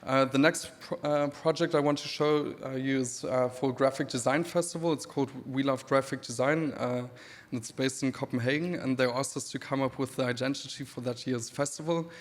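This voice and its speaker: A man in his thirties from Namibia with a German accent, giving a relaxed conference talk with dry humor and many pauses. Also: medium pitch. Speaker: speaker presenting his creative work. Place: event hall. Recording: reverberant hall.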